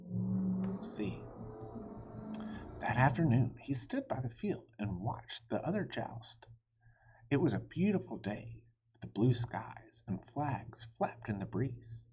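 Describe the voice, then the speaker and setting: Husky man reading to children, animated. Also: medium pitch, dull audio. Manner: animated, pauses to quiz listeners. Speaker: storybook reader and teacher. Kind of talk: expressive read-aloud for children.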